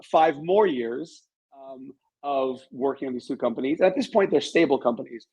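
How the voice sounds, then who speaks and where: A man's voice: medium pitch, moderate pace, darkish tone, husky, poor audio. Chatty, candid middle-aged man telling stories. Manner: candid, self-deprecating, chatty. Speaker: interview guest, author and business owner. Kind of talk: casual interview storytelling.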